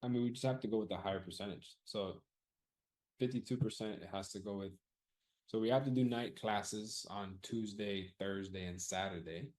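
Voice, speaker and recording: A man's voice: fairly low pitch, moderate pace, darkish tone. A man running a live audience poll about class times, casually thinking aloud, loose and profane, often repeating himself.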